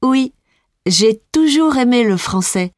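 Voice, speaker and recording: An actress voicing a young female Belgian pop singer being interviewed, speaking slowly and clearly in a scripted exam dialogue. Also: fairly high pitch, lively intonation, no echo, clean studio sound. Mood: friendly. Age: young adult. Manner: slow, clear, carefully articulated.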